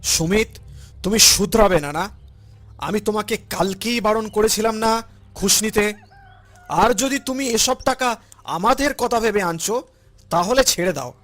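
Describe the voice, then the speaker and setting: A man playing an honest, gentle but reproachful elderly father in a cartoon, emotive and pleading. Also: high voice, unhurried, long pauses. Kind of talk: cartoon voice acting.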